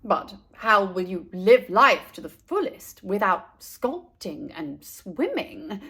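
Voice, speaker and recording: Lady doing a worried storybook dad, earnest and questioning, pitched lower, unhurried. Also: very animated, vocal fry, bright, forceful tone, breathy edge, heavily acted. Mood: doubtful. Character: concerned, earnest father of a little girl.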